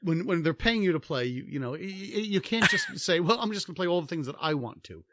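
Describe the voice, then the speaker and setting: Quick-talking singer-songwriter man. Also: high voice, wildly swooping pitch, heavy background noise. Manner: quick, laughing, stammers on restarts. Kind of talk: casual interview chat.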